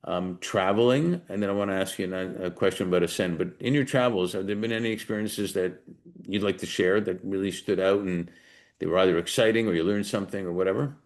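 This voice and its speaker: A man's voice: low voice, fairly quick. A man hosting an interview, asking long, rambling, encouraging questions with restarts. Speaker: host. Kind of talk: casual interview.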